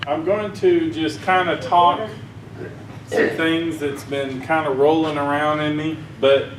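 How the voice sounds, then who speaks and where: Southern guy preaching loose and folksy, bit high voiced, roomy echo, mic kinda far off. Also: a little hiss or hum. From Arkansas. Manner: folksy, rambling, chuckles at own jokes. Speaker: conference speaker. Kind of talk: informal sermon talk. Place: conference hall. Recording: echoey room, mic heard from far.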